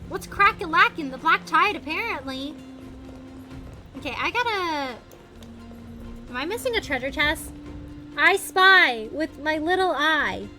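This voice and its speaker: A woman with a very high voice chats through a live game stream with music behind her. Her pitch swings widely as she thinks aloud, playful and quick to exclaim. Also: expressive, bright, forceful tone. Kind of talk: casual gameplay commentary, chatting to viewers.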